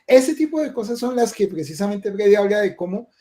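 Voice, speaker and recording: A Colombian man hosting a webinar over a video call, a game streamer who chats casually and tags his remarks with quick asides. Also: sits high, big pitch swings, dark tone.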